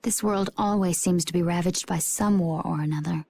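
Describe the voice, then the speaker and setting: A woman's voice: lowish voice, normal speed, gravelly, darkish tone, a little hiss or hum. A woman voicing a cool, enigmatic young warrior woman in a video game, composed and understated. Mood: calm, guarded.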